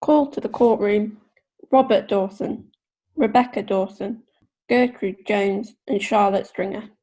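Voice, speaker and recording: Actress as a dry-witted court clerk, mellow and slightly high, radio drama. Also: female voice, a little slow, natural voice, poor audio. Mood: formal. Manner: dry, punning formal delivery. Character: witty female court clerk.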